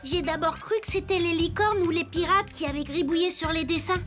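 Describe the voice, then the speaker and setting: A very high, childlike cartoon voice for a little wooden toy boy detective. He is earnest and inquisitive, asking clear questions over background music. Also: fairly even intonation, brisk. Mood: pleased, explaining.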